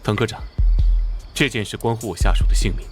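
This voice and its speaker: An actor playing a brusque, hot-tempered police captain in an animated drama, with a gruff, commanding, sarcastic manner. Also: male voice, on the low side, brisk, lightly acted. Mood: urgent. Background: music.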